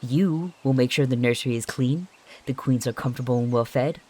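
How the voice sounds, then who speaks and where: A woman's voice: a bass voice, brisk, mellow. A woman voicing a short-tempered female cat mentor who scolds in clipped questions, in an audio drama. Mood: cold scolding.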